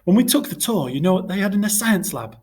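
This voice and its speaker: A man voicing a caring, gently persuasive mother in a storybook. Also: high voice, very animated, fast talker, slightly rough, pitched above the performer's own voice, full character voice. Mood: encouraging.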